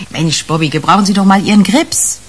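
An actress playing a brash, shrewd police detective in a radio crime drama, slangy and emphatic. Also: female voice, mid-range voice, normal speed, breathy edge, close to an everyday voice. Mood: emphatic, sardonic.

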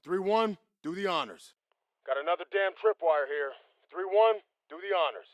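A man's voice: high-pitched, expressive, normal speed, dry recording, poor audio. An actor playing a tough, confident American Marine squad leader in a video game, commanding his men in clipped, salty military jargon. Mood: low, wary. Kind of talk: video game combat dialogue.

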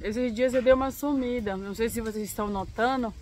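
A young woman in her twenties vlogging to the camera outdoors in her backyard. She is hoarse and congested with a cold, and talks softly and unhurriedly, pausing often and adding tag questions. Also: fairly high pitch, a little hiss or hum. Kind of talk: casual talk to camera. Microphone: phone or camera close up.

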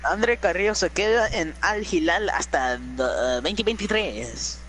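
A man's voice: sits high, animated, normal speed, a bit bright, a little hiss or hum. A young man swearing and joking with friends in a casual gaming voice chat, slipping into Spanish phrases. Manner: swears a lot, switches into Spanish. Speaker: gamer.

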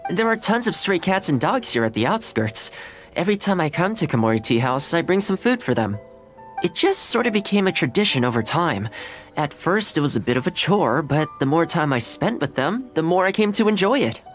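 A man's voice: fairly high pitch, sing-song, fairly quick, soft background music. An actor playing a friendly young man in an anime video game, cheerful, polite and laid-back. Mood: warm, pleased. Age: young adult. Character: friendly, easygoing young male anime housekeeper. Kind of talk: scripted video game dialogue.

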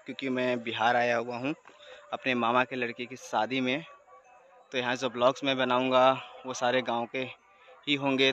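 A man in his thirties talks straight to his viewers in an easy, chatty way while he walks through open fields at dusk. The phone is held at arm's length and picks up the outdoor air around him. His voice sits at a middling pitch. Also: fairly bright tone. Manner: chatty, addresses viewers directly. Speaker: vlogger. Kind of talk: casual travel vlog narration.

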